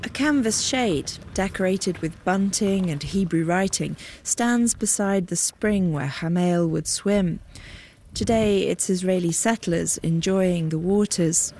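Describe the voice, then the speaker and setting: A woman reporter with a slightly low voice reads a scripted field report. She narrates as a radio journalist would. There is faint ambient noise behind her, like vehicles. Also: a little slow, darkish tone.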